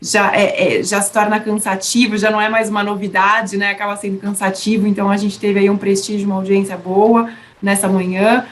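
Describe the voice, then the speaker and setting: A woman's voice: medium pitch, flat delivery, fairly quick. A woman giving chatty closing remarks as the organizer of an online seminar, with frequent fillers. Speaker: event organizer closing the session. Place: online webinar.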